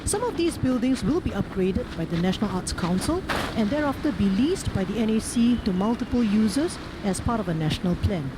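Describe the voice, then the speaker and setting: A woman's voice: mid-range voice, expressive, a little fast, background noise. A woman with a Singaporean accent reading a formal prepared speech as a member of parliament. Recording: game music over parliamentary speech audio. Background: loud music from a video game.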